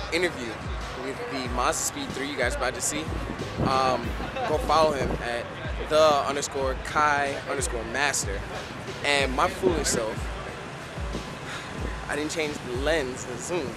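A young man hosting a casual car vlog, chatting in a laid-back way with frequent ums, filmed on a handheld camera at an outdoor event and in his car. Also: medium pitch. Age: young adult. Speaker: vlogger host. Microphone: handheld camera held close. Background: beat music.

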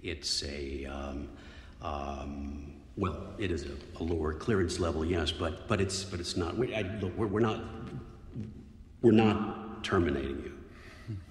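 An awkward, placating agency boss in a film drama, with a rumbling, extremely low voice. He hedges and stumbles along, processed by an electronic voice changer in a reverberant recording with some background noise. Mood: flustered, hedging. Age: 50s. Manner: halting, hedging, awkward. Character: uneasy, placating middle-aged intelligence agency boss. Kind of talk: film acting. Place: small office.

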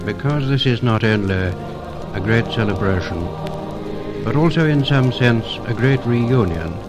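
An English man reading formal scripted commemorative narration in solemn, deliberate phrases. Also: mid-range voice, animated. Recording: 1950 LP with music behind.

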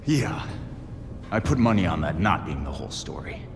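A man's voice: sits low, sing-song, normal speed, gravelly, full character voice. A man acting a young, earnest, plain-spoken vigilante hero in a dramatic video game cutscene. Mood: grave. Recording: hall reverb with music behind. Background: music.

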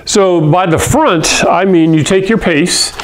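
A middle-aged man from Hawaii teaching chemistry to camera in a classroom, talking in a friendly, conversational way and often clearing his throat. He speaks into a lapel mic. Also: high-pitched, slow, slight background noise, poor audio. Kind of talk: video lesson to camera. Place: classroom in front of a whiteboard.

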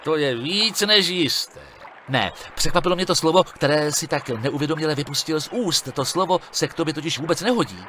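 A man's voice: fairly high pitch, animated, bright, forceful tone, slight background noise. A man voicing a sarcastic young monk adventurer in an adventure game, dry and mocking in his remarks. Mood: taunting. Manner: dry, mocking, quick-witted. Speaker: game protagonist.

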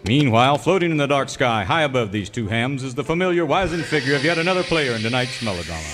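A man's voice: medium pitch, expressive, quick pace, over loud music, natural voice. A man as a grandiose radio serial announcer. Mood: melodramatic. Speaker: announcer, narrator. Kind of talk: parody of old-time radio serial narration. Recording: noisy live stage ambience.

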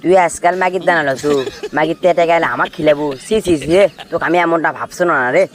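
A man's voice: fairly high pitch, steady tone, fast, fairly bright tone, close to an everyday voice. A man voicing a sly young village freeloader in a comic cartoon, teasing and insistent, with a Bogura accent from northern Bangladesh. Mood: scornful. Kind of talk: comic cartoon dialogue in rural dialect.

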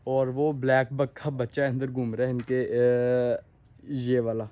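A young adult man with a Pakistani accent speaks in a mid-range voice. He explains things to viewers in a casual vlog style, often pausing to rephrase. He is recorded outdoors on a handheld phone. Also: medium pitch, completely flat delivery, dull audio. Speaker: farm owner and vlogger. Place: outdoors on an open farm. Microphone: handheld phone at arm's length.

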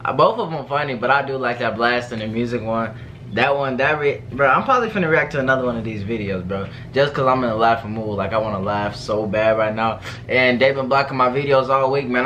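Young man, bright forceful voice, slangy reaction host, informal chat, clear background noise. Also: mid-range voice. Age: young adult. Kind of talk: casual chat reacting to a video. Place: indoor room at home.

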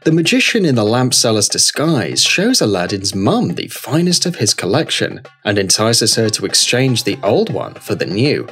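Male storybook narrator: middle register, lively intonation, scripted, music bed. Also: lowish voice, normal speed, hardly any pauses. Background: background music.

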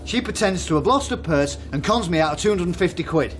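A man's voice: high-pitched, expressive, quick pace, slight background noise. An actor playing an aggrieved, aggressive man in a naturalistic radio drama, British, confrontational and sarcastic. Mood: insistent, sarcastic. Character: aggrieved, aggressive conned man out for his money.

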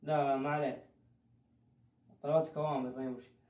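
A young man, reciting Quran in a melodic chant and talking quickly on the phone in a living room. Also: medium pitch, level tone, mellow. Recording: echoey, distant, muffled camera audio.

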